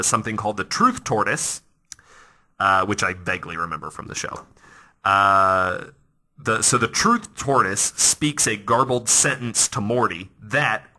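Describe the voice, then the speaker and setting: A man hosting a podcast, telling stories in a casual, animated, conversational way that often restarts mid-thought. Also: lowish voice. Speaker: podcast host. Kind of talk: casual storytelling podcast talk.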